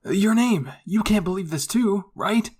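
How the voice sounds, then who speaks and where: A man's voice: sits high, measured pace, darkish tone, husky, pitched above the performer's own voice. A man voicing a hot-headed, emotional teenage soldier boy in a fan fiction roleplay, indignant and impatient.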